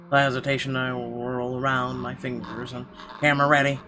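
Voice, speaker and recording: A man's voice: mid-range voice, little pitch movement, a little fast, a crowd in the background. A man reading a horror visual novel's narration aloud as a gamer, in a dramatic storytelling way with occasional stumbles. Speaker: let's-play gamer. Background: game music.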